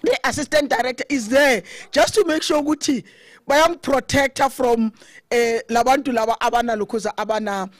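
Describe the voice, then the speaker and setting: A middle-aged woman with a South African accent giving a loud, conversational funeral tribute at a podium mic under an open thatched shelter, switching between English and Zulu. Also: a little high, fairly quick, no echo. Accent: South African, mixing Zulu. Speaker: eulogy speaker, committee chair.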